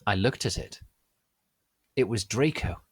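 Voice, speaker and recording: A man narrating a story as a dramatic reading, theatrical and deliberate, close to the microphone. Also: fairly low pitch, breathy edge, no echo. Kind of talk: dramatic scripted fanfiction reading.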